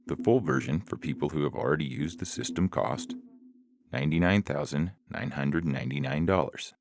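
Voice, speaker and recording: Indignant, entitled resurrected everyman played by a male reader, rock-bottom pitch, creaky edge, audio drama over music. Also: moderate pace. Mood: bitter. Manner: demanding, indignant. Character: indignant, entitled resurrected man fuming at a corporation. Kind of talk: dramatized audio fiction reading. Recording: music bed behind the voice.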